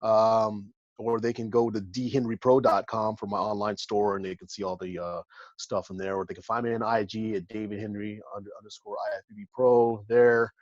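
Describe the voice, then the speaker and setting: A man's voice: fairly low pitch, fairly even intonation, a little fast, no echo, highs cut off. A man in his forties from Texas, a guest on a podcast, talking in a laid-back way and laughing easily. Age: 40s. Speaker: bodybuilder guest. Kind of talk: casual interview chat.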